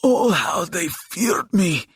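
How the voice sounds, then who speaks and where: A man voicing a frail, dying old demon for an audiobook, proud and menacing, with a croaking, hissing, breathy voice and slow, grandiose delivery. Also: high voice, sing-song, dry recording, voice pushed up, heavily acted. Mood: bitter pride. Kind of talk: dramatic audiobook character voice.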